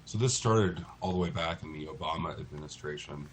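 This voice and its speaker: An American man speaking as an interview guest, explaining things in a measured, articulate way. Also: very deep voice, a little hiss or hum. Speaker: interview guest, lawyer in a class action.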